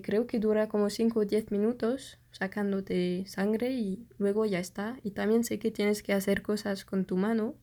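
Slow-talking woman, French native speaker. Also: medium pitch, warm tone. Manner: hesitant, searches for words, many fillers. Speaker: vlogger. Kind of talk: casual storytelling to camera.

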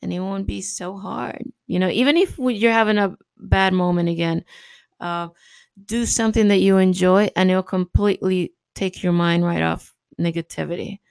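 A woman's voice: lowish voice, fairly even intonation, normal speed, creaky, a little mellow, clear, resonant tone, no room sound. A woman who speaks Spanish as her first language, hosting a casual, encouraging podcast monologue full of fillers and little laughs. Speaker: podcast host.

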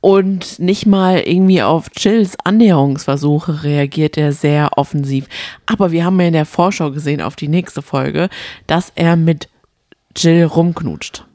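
A woman's voice: deep voice, unhurried, warm tone, dry recording, studio quality. A young woman co-hosting a podcast, chatting casually and telling stories in everyday speech. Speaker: co-host of a reality TV podcast. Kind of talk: casual chat, telling anecdotes.

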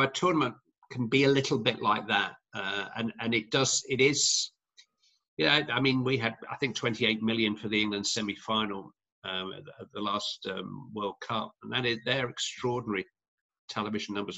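A man's voice: on the low side, fairly even intonation, normal speed, pushed and bright, dull audio. A middle-aged man, a sports commentator, giving long, rambling interview answers full of restarts and fillers.

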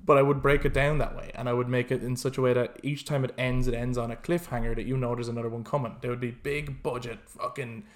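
A man chats casually as a podcast co-host at an average pitch. He gets animated and rants with plenty of swearing, often slipping into acted-out reactions. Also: fast talker, few pauses. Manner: animated, sweary ranting, acts out imagined reactions. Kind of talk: casual chat about films and games.

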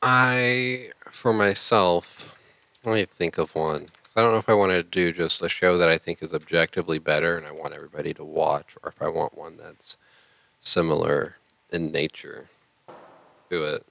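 Male podcast co-host, very low voice, blunt and profane, casual banter, close dry sound. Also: steady tone, moderate pace, gravelly, pushed and bright, no echo.

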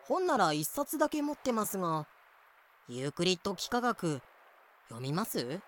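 A man playing a polite, long-suffering young navigator with dry, deadpan complaints in a fan-made voice drama. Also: sits high, normal speed, a little hiss or hum. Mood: polite, bemused. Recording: music behind the voice.

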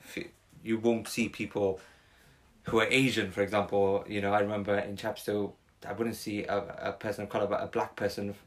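A man's voice: lowish voice, flat delivery, fairly quick. A young man, talking casually as a podcast guest, rambling with many fillers and restarts. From Wales. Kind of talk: casual conversation.

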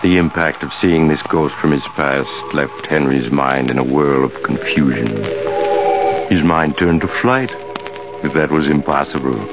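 An actor playing an ominous storyteller, narrating an old radio suspense tale in a measured, dramatic way. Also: male voice, very deep voice. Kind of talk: scripted 1940s radio narration. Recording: muffled old radio, loud music behind.

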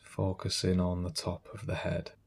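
A man speaks as a meditation guide in a low, husky voice. His delivery is calm and gentle, with unhurried instructions and space left between phrases.

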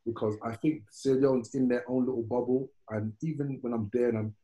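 Guy rambling on a podcast, keeps restarting, talks in an even middle voice. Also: medium pitch, fairly quick, dull audio. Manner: rambling, repeats words and restarts often. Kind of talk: casual chat.

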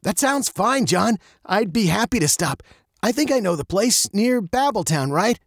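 A stern but kind father in a children's story, voiced by a man reading aloud. He corrects firmly yet stays warmhearted, his voice pushed high and moving a lot. The recording is dry. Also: very animated, moderate pace, no echo, full character voice. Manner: firm, scolding.

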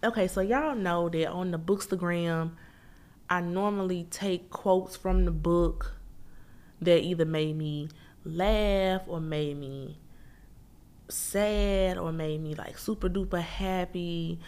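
A woman's voice: fairly low pitch, slow pace, a little mellow. A woman from Memphis hosts a casual book review. She talks to her listeners in a chatty, slangy way. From Memphis, Tennessee.

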